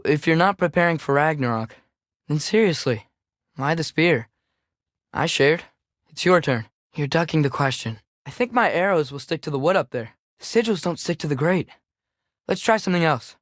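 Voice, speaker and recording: A young male actor playing an earnest, impulsive teenage boy archer in a video game, expressive and youthful. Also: a little high, no echo, studio quality, natural voice. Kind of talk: video game character dialogue.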